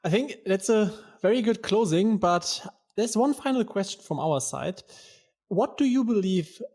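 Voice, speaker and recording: Man, podcast co-host, higher voice, casual, chuckling. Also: expressive, a little slow, darkish tone. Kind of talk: podcast interview.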